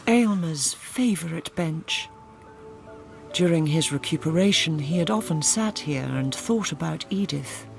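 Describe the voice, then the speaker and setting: An Englishwoman narrating a radio drama in a dry, measured, literary storytelling manner. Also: deep voice, lively intonation, gravelly, a little mellow, soft background music, exaggerated voice.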